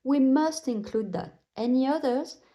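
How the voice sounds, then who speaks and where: A woman reading a children's storybook, voicing a kindly, encouraging female gazelle who teaches playgroup with clear, teacherly instructions. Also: high-pitched, unhurried, lo-fi sound, pitched above the performer's own voice. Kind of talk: children's storybook read-aloud.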